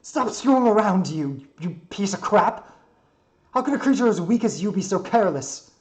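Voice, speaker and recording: A man's voice: medium pitch, lively intonation, normal speed, dark tone, lightly acted. A man voicing a proud, grumpy non-human creature in a dramatic story reading, haughty and brooding. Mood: furious. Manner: brooding, haughty, muttering monologue.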